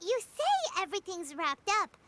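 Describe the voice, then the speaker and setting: A tiny childlike fairy sidekick from a video game, with an extremely high squeak. The excitable, earnest voice leaps up and down dramatically. The game capture is very noisy. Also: female voice, normal speed, full character voice. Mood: insistent. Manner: exclamatory, speaks of herself in third person. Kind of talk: scripted game dialogue acting.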